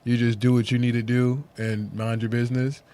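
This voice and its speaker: A man's voice: mid-range voice, level tone, fairly quick, mellow. A man from Connecticut, a music manager talking in a podcast interview, chatty and often laughing. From Norwalk, Connecticut. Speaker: guest, artist manager.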